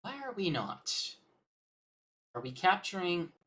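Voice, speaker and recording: A woman with a low voice and very mobile intonation hosts a casual livestream. She talks partly to the audience and partly to herself, muttering as she troubleshoots. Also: very animated, slow pace, fairly bright tone, breathy edge. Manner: muttering to self while troubleshooting. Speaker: livestream host. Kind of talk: casual livestream chat to viewers.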